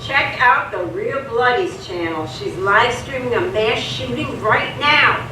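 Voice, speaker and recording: A woman's voice: on the high side, lively intonation, unhurried. A woman acting a bored, callous online livestream viewer in a live radio theatre play. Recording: very echoey stage, far mic, clear noise.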